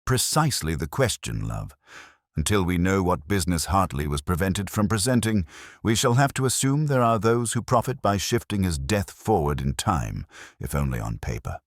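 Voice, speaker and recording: A shrewd police inspector read by a male narrator from a mystery story, speaking formally and deliberately with quiet authority. The voice is very deep, yet the intonation rises and falls freely, in a dry recording. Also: sing-song, no echo. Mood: thoughtful, correcting. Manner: soft-spoken, deliberate, formal.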